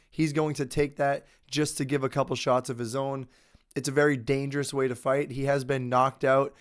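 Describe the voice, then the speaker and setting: A young adult man with a head cold talks through fight predictions in a relaxed, conversational way. His voice stays smooth and clear at a middle pitch, even though he says he is losing it. He states his opinions with confidence. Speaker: host. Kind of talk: casual sports picks commentary.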